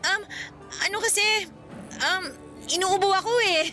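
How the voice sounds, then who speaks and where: A shy, secretive young student girlfriend in a radio play with a high voice. She dodges questions and playfully scolds her boyfriend, with soft music in the background. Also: moderate pace. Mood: flustered. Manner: flustered and evasive, with playful scolding. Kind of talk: radio drama acting.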